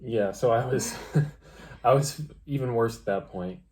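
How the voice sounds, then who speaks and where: A young adult man co-hosting a vlog, telling a personal story casually and haltingly, often restarting his phrases. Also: medium pitch, a little mellow, a little hiss or hum. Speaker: vlog co-host. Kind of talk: casual storytime chat with a partner.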